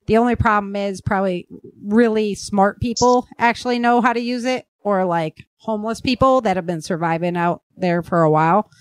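A woman chatting casually as a podcast host, animated and punctuating her points with punchy sound-effect words. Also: medium pitch, normal speed, few pauses, smooth. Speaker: podcast host and fiction writer. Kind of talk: casual survivalist chat.

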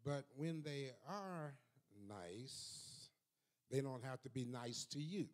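An older man preaching a sermon from a church pulpit into a handheld microphone, measured at first and building to fervent repetition. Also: mid-range voice, lively intonation. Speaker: pastor. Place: church sanctuary.

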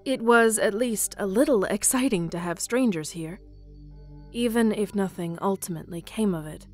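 A woman's voice: medium pitch, normal speed, a little mellow, faint music behind, lightly acted. A woman playing a curious, wistful islander in a fantasy audio drama.

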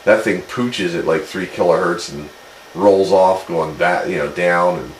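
A man's voice: sits low, measured pace. A man chatting casually over two-way radio as a hobbyist, explaining technical things in a rambling way. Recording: roomy, noisy CB radio speaker pickup.

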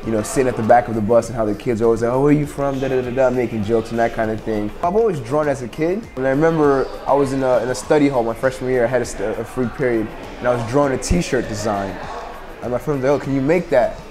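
A man's voice: mid-range voice, music in the background. A young man in his twenties from Nigeria, speaking casually in an interview with lots of fillers and restarts. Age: 20s. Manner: quick, rambling, many fillers. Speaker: interviewee, entrepreneur. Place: indoors at a bar.

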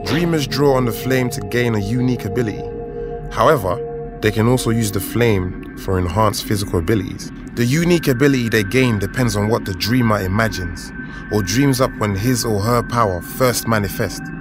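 An actor playing a patient, knowing older mentor, explaining things in a comic drama. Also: male voice, lowish voice, a little fast, music in the background, lightly acted. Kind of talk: expository comic voice acting.

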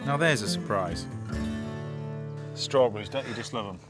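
A man, a TV chef chatting through a cooking demonstration outdoors, instructive and quick to laugh. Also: mid-range voice, expressive, over loud music. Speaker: TV chef host. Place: outdoors at a campsite.

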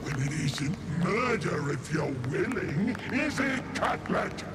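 High, swooping bloodthirsty fey god. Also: male voice, very animated, takes it slowly, heavily acted. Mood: gloating menace. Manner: menacing, theatrical, taunting. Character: bloodthirsty redcap fey posing as a murder god. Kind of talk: fantasy video game acting. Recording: loud dramatic game music behind.

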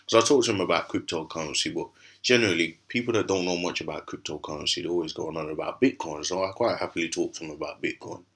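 A man with a raspy, hoarse voice from a cough, hosting a casual crypto commentary over a screen recording, rambling with many fillers and restarts. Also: low voice, quick pace, fairly bright tone. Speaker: crypto channel host.

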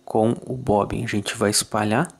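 Calm man explaining fly tying step by step. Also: fairly low pitch, normal speed, creaky, slightly rough. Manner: calm, methodical, ends phrases with "tá?". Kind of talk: step-by-step tutorial voice-over.

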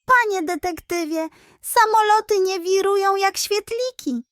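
A woman's voice: very high-pitched, unhurried, a bit bright, no room sound, voice pushed up. A woman voicing an indignant, bustling hedgehog baker lady in a children's bedtime story, huffy and insistent.

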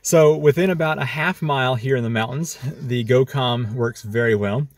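A man's voice: medium pitch, normal speed. An American man in his thirties giving a casual, hands-on product review.

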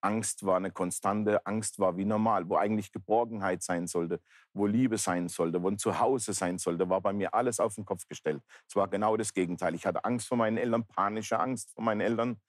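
A man's voice: lowish voice, steady tone, normal speed, no room sound, studio quality. A man in his fifties, speaking earnestly and plainly as he gives personal testimony in an interview. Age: 50s. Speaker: talk show guest, pastor. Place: large indoor bar hall, seated interview.

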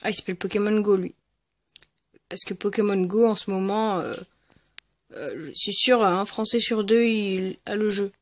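A gaming video creator giving casual, hesitant and rambling commentary over gameplay, speaking in a medium-high voice. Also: moderate pace, fairly bright tone. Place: at home, voice-over on mobile gameplay recording.

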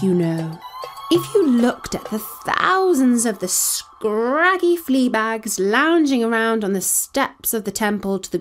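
A woman voicing a proud, vain magical cat narrating a children's story, with a haughty, dramatic manner. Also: on the high side.

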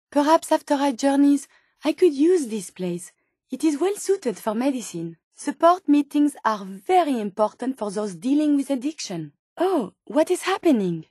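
An actress playing an earnest, curious French robot medical companion in a video game, speaking precisely and politely with a French accent. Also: female voice, sits high, a little mellow, husky, no echo, studio quality, exaggerated voice. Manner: precise, polite, wide-eyed, exclamatory.

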